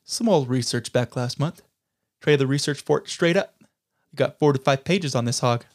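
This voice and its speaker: A man's voice: medium pitch, moderate pace, lightly acted. A man playing a scrappy, resourceful friend in a comic sketch, sarcastic and blunt. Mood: matter-of-fact. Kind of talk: scripted comic sketch dialogue.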